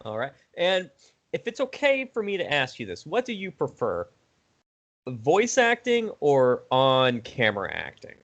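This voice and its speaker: A man of middle age hosts a relaxed interview in an informal, joking manner. His pitch moves around a lot and he laughs easily. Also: measured pace, dull audio. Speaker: interviewer, host. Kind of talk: casual chat interview.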